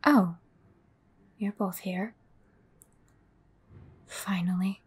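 Woman acting as a breathy, cryptic fortune teller. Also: mid-range voice, fairly even intonation, heavily acted. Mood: grave, knowing. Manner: measured, ominous. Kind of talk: audio roleplay acting.

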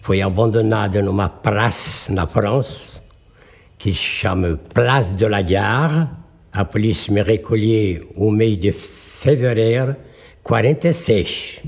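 A man in his seventies with a French accent, speaking Portuguese in a halting, plain-spoken way. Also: lowish voice, a little mellow. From France. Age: 70s. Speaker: interviewee, former bodybuilder.

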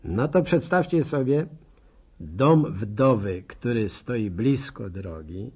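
An actor playing a nostalgic village bachelor telling a radio serial story in folksy, musing, speech. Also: male voice, fairly low pitch, expressive, slow pace, dark tone, breathy edge, exaggerated voice. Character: nostalgic village bachelor narrator, war veteran.

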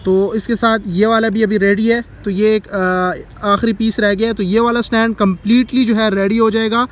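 A young man with a Pakistani Urdu accent and a notably high voice gives a chatty, enthusiastic walking tour of an outdoor construction site. He talks into a phone held at arm's length, keeps calling his viewers "bhai", and there is distant traffic behind him. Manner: chatty and enthusiastic, repeats "bhai" and "dekh sakte hain". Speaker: vlogger. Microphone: phone camera at arm's length.

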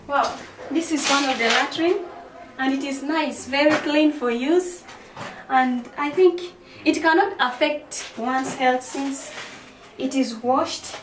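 High-voiced young South Sudanese woman, noisy recording. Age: young adult. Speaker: student tour guide. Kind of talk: presenting a school tour to camera. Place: school grounds and rooms, outdoors and indoors. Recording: noisy on-location camera audio.

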